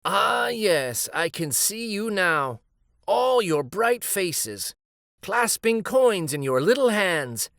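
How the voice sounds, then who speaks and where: A gentle old grandfather who once told stories in the street, acted out by a man reading a picture book. He muses nostalgically and slowly, with small hesitations, and his slightly raised voice rises and falls in a lively way. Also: high-pitched, vocal fry, no echo, studio quality, voice pushed up, heavily acted. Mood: tender, calling out. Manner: hesitant, musing, with little ums.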